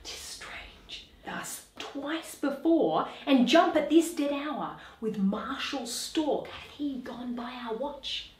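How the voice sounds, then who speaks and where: A woman playing an anxious night sentinel, earnest and questioning, in deliberate dramatic Shakespearean verse. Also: high-pitched, animated, echoey room. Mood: hushed unease.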